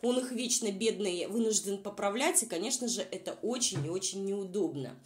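A woman's voice: mid-range voice, normal speed. A woman vlog reviewer who is unwell, giving informal, exasperated and sarcastic commentary. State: unwell, says voice is nearly gone. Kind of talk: informal mocking commentary over video.

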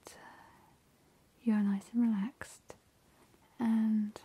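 Whispering woman, very slow ASMR vampire. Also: on the high side, level tone, dark tone, breathy edge. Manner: whispering, slow and soothing, with repeated words. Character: playful, seductive female vampire. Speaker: ASMR host.

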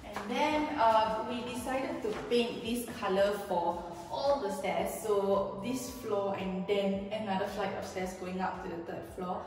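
A woman's voice: mid-range voice, fairly even intonation, a little slow, few pauses, darkish tone, far from the mic. A woman from Malaysia talks her way through a house renovation tour, explaining as she goes with plenty of "um"s. Her voice rings around bare, empty rooms with a long tail, and she sits a little away from the camera. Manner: chatty and explanatory, with frequent "um" and gesturing. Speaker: home renovation vlogger. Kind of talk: casual house tour walkthrough. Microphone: handheld camera at a few feet. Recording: echoey empty rooms, voice a bit off-mic.

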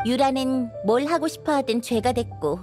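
Woman as high-voiced, kindly young waitress. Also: moderate pace, darkish tone, faint music behind, natural voice. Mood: earnest, sympathetic. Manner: polite, earnest, explanatory. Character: kind, earnest young female restaurant worker, sympathetic. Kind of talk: video game voice acting.

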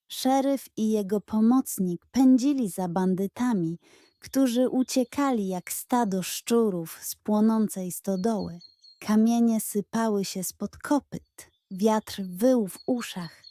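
A woman narrating a scripted bedtime adventure story for children in a slow, dramatic storytelling manner, recorded close on a studio microphone. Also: medium pitch, dark tone, no room sound.

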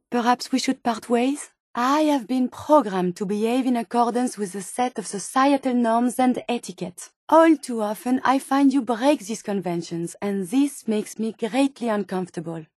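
Woman playing a high-voiced, earnest French robot scientist. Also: darkish tone, dry recording, studio quality, close to an everyday voice. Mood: formal, uneasy. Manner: formal, precise, earnest phrasing. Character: gentle, earnest female robot scientist turned synthetic human. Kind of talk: video game character dialogue.

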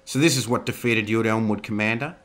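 A man's voice: on the low side, quick pace, a bit bright, pitched above the performer's own voice, natural voice. A man voicing a ruthless female military captain who taunts everyone in the hall. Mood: taunting. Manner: taunting, cold.

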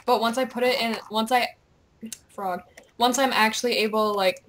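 Young female gamer, higher-pitched and very bright, playful casual chatter with goofy outbursts. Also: moderate pace. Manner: excitable, playful, goofy exclamations. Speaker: gamer, video creator. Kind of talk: casual gameplay chat, reading quest captions aloud.

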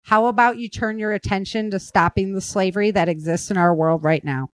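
A woman's voice: mid-range voice, steady tone, fairly quick. An American woman hosting a casual talk show, speaking in an earnest, opinionated and emphatic way. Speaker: podcast host and author.

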